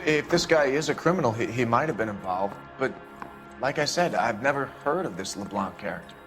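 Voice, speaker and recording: An actor playing an evasive, smooth-talking businessman under questioning in a game, guarded and politely hedging. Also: medium pitch, lively intonation, brisk, over music, natural voice. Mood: uneasy, evasive. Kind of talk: dramatic interrogation dialogue.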